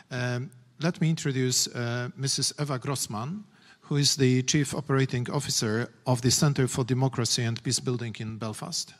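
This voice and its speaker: A man moderating a panel, introducing speakers formally and hesitantly with many pauses. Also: mid-range voice, in a large hall.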